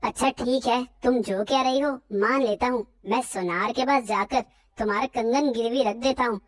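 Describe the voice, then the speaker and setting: A woman voicing a poor, apologetic young husband in a cartoon story, speaking softly in a lowered voice. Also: moderate pace, lightly acted. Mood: gentle.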